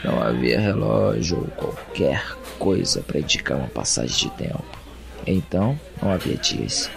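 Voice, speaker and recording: A man with a Brazilian Portuguese accent playing an anguished captive in an audio drama, narrating his imprisonment in a brooding, deliberate monologue. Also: low-pitched, very animated, vocal fry, heavily acted. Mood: weary, resigned. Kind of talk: dramatic first-person monologue. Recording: music bed under the voice.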